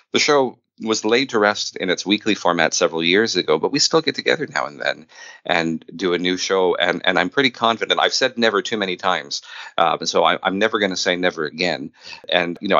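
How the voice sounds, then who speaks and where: A man's voice: deep voice, pushed and bright, dry recording. A man chatting casually as an interview guest, telling stories conversationally. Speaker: podcast guest, filmmaker. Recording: dull, band-limited call.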